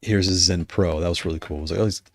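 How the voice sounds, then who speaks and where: A man chatting casually on a livestream, rambling and correcting himself as he goes, his voice going hoarse. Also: low-pitched, steady tone, racing, a bit bright, no room sound. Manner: rambling, self-correcting, conversational. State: losing his voice. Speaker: streamer discussing controllers.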